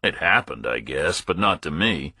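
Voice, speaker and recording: A man's voice: extremely low pitch, sing-song, normal speed, creaky, pushed and bright, husky, dry recording. A man narrating a first-person thriller audiobook in a scripted storytelling style.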